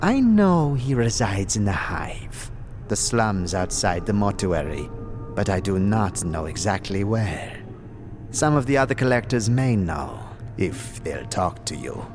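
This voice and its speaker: A sinister, ghoulish undertaker for a fantasy audio drama. The voice is lowish and very slow, swinging widely in pitch through weary, formal, halting phrases. Music plays clearly underneath. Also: male voice, expressive. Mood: disdainful. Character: sickly sinister ghoul-like undead mortician, weary, formal, suspicious.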